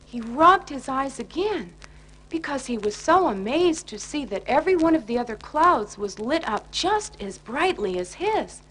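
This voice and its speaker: A woman narrating a children's bedtime story in an expressive storytelling manner. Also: sits high, moderate pace. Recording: noisy 1980s children's record.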